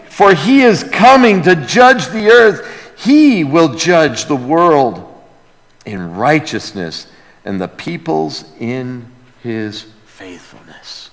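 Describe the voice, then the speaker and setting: Emphatic male preacher in a reverberant hall. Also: a little high. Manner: emphatic, reverent, swinging from loud proclamation to hushed emphasis. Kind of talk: expository sermon with dramatic scripture reading. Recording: long reverberant hall sound.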